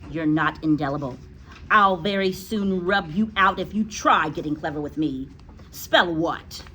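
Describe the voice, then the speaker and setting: A woman's voice: sits low, a little slow, sharp tone, slight background noise. A woman voicing a cruel, domineering female school principal who barks insults as she reads a children's storybook. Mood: menacing. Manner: barking, insulting.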